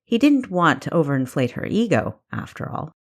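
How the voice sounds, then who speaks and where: A woman narrates a work of fiction in a low voice. She reads scripted prose as an audiobook storyteller. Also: very animated, moderate pace. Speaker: author narrating own audiobook.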